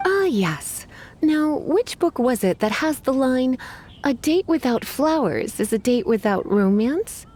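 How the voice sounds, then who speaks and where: Game casting: flirty, refined lady witch, coy and languid, with expressive melodic delivery. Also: high voice, a little slow, exaggerated voice. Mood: genteel, composed. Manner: coy, sighing, teasing, genteel. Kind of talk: video game character acting. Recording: game music behind voice.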